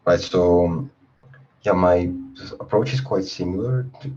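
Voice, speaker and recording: A man's voice: deep voice, unhurried, dark tone. A man answering informally as a guest on an interview panel. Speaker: guest, startup software engineer. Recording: dull, noisy online call audio.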